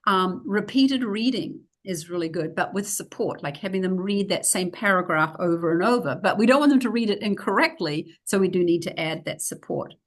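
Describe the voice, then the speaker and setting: New Zealand woman giving a teaching lecture. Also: mid-range voice. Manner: explanatory, practical, with frequent fillers. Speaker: teacher trainer. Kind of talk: recorded lecture with slides.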